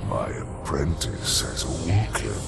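A man voicing an ancient, sinister robot master in a video game, gravelly, ominous and imperious. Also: low-pitched, big pitch swings, slow, exaggerated voice. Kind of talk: video game villain acting. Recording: loud music over the voice.

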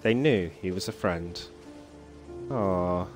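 Male streamer, lower voice, laid-back musing commentary with light chuckles, faint game music underneath. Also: takes it slowly. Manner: relaxed, musing aloud, chuckles often. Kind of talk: casual gameplay commentary, reading game text aloud. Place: home, at the computer.

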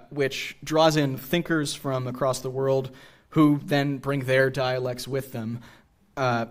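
A young man with an American accent giving a lecture with casual asides at a theater podium microphone. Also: mid-range voice. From New England, USA. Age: young adult. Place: theater stage.

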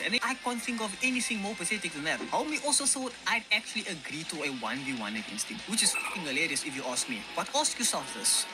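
Scornful man with lively delivery. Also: a little high. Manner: scornful, profane, mocking. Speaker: YouTuber calling out a rival. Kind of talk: scripted callout commentary.

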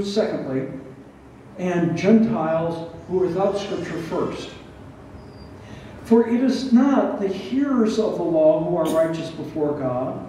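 A man in his sixties preaching an expository Bible study, reading and explaining scripture in a deliberate, teacherly way with rhetorical questions. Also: high voice, mellow. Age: 60s. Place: barn hall with a stage, indoors. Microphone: headset. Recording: roomy, echoing, distant sound with faint noise.

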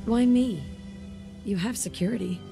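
A young actress playing a tough, businesslike mercenary in a video game, with a cool, matter-of-fact delivery. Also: female voice, medium pitch, unhurried, vocal fry, mellow, soft background music. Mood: questioning.

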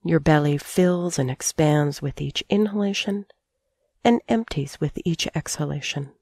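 A woman with a low, mellow voice leads a guided sleep meditation. She sounds calm and soothing, placing her phrases in a measured way with long pauses. The recording is very dry and close, with no room sound. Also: no room sound. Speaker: meditation teacher.

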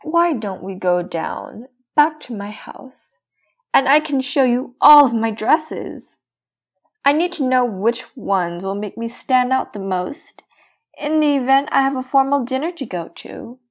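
A woman's voice: on the high side, expressive, a little slow. A woman playing a vain, spoiled young town beauty in an audio drama, coaxing and self-important. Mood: coaxing, haughty. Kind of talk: amateur audio drama acting.

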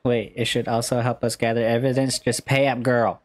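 A man's voice: medium pitch, flat delivery, a little fast, noisy background. A young man giving casual, playful, sarcastic commentary while playing a video game. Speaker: gaming streamer. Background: game music.

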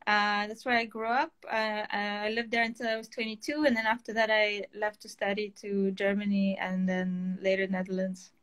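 A woman's voice: medium pitch, little pitch movement, moderate pace, hardly any pauses, a bit bright. Estonian-born woman on a video call. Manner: thoughtful, many uh fillers. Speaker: artist, interview guest. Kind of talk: casual video-call interview. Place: home room, indoors. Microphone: laptop webcam on video call.

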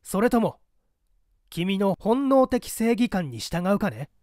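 A man acts out an intense male conspirator in a mystery audiobook. His voice is pitched high and swings widely as he presses his questions earnestly, in a dry recording. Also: very animated, takes it slowly, a little mellow, no echo, full character voice. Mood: intense, uneasy. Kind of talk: audiobook character acting.

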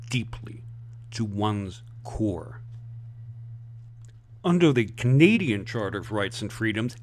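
A man's voice: medium pitch, a little hiss or hum. An American man delivering impassioned, emphatic scripted commentary with rhetorical flourishes. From United States. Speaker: online commentator.

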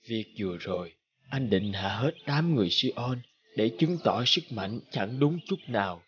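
A man voicing a wise old magic horse in a cartoon fable, measured, earnest and gently admonishing. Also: medium pitch, muffled recording, a little hiss or hum, natural voice. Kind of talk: animated fable voice acting.

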